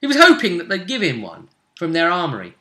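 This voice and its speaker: A man working as game master, casually recapping a tabletop role-playing session with animated, emphatic storytelling in a roomy space. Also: high-pitched, fast talker. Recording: roomy, echoey sound.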